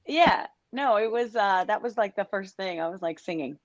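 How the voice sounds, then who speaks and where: A woman's voice: mid-range voice, normal speed, no echo. Danish-born woman, dry dull recording. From Denmark. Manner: relaxed, laughs often, trailing asides. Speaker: guest, country singer. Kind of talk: casual interview chat.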